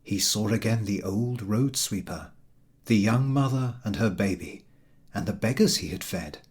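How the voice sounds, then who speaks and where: A man narrating a storybook in an unhurried, dramatic, expressive manner, with a soft husky voice. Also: lowish voice.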